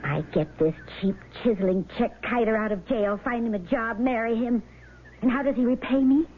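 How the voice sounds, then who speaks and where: A faintly hissy, thin radio recording carries a woman acting a vengeful, sharp-tongued wife. Her voice is on the high side, commanding and full of scorn. It is played as 1970s radio drama. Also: normal speed, natural voice. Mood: coldly vengeful. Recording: narrowband, noisy old radio broadcast recording.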